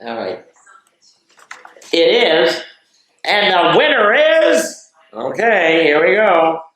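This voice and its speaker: A woman hosts story time for children in a roomy, echoing space. She reads picture books aloud at a very unhurried pace, drawing out key words and slipping in informal comments of her own. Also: fairly low pitch, big pitch swings, a bit bright. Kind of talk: reading picture books aloud with chatty asides. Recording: echoey, roomy sound.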